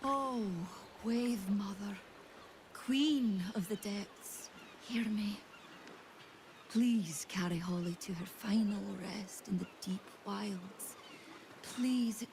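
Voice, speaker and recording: A woman playing a devout, grieving temple acolyte in a fantasy game, with a soft, reverent manner. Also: mid-range voice, drawn-out words, a little hiss or hum. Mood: mournful prayer. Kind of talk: fantasy video game acting.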